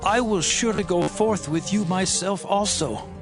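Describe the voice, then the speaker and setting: A tender-hearted king voiced by a male actor speaks with solemn, measured earnestness in a dramatized scripture reading. His voice sits high for a man, with music playing clearly behind it. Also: natural voice. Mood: resolute. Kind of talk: dramatized Bible audio acting.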